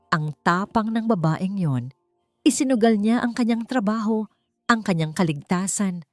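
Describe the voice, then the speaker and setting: A woman's voice: lowish voice, a little mellow. A woman with a Filipino accent reading a story as dramatic scripted audiobook narration. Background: background music.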